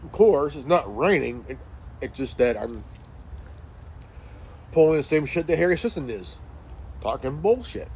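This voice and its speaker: A man in his late forties talking in a casual monologue, halting with many fillers and restarts, sitting in a chair indoors. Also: a little high, very animated, a little mellow, slight background noise. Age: 40s. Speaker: commentary channel host.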